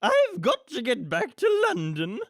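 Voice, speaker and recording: Haughty rich villainess, very high swooping voice, theatrical, commanding, gleefully murderous, storybook reading. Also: female voice, wildly swooping pitch, measured pace, no echo, clean studio sound, heavily acted. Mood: gleefully menacing.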